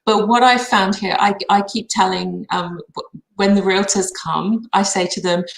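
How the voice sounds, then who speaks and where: A woman's voice: medium pitch, fairly even intonation, moderate pace, vocal fry. A woman speaking as a guest on a live stream, chatting casually in a hesitant, conversational way. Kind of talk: casual chat. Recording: roomy, echoing sound.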